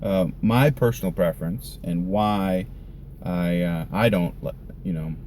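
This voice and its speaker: A man giving a casual, unscripted vlog explanation in a halting, conversational way, thinking aloud as he goes. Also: deep voice, darkish tone, slight background noise. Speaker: volunteer church live sound tech, vlogger.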